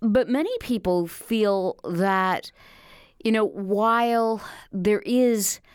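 Woman hosting an interview, measured. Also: medium pitch, smooth, no room sound, clean studio sound. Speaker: interview host. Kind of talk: interview questions.

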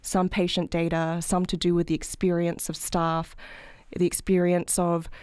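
A woman's voice: fairly low pitch, monotone, moderate pace, darkish tone, smooth, dry recording, clean studio sound. A woman in her thirties with an Australian accent, explaining her research as an interview guest. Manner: articulate, explanatory, frequent "sort of". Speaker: guest, healthcare management researcher. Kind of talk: interview answers.

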